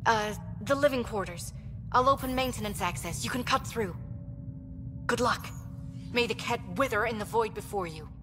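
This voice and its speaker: Sci-fi game engineer, female actor: higher register, earnest, urgent, slightly hesitant, reverberant. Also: moderate pace, over music, close to an everyday voice. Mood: worried, focused. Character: earnest, devout female alien starship engineer. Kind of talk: video game voice acting.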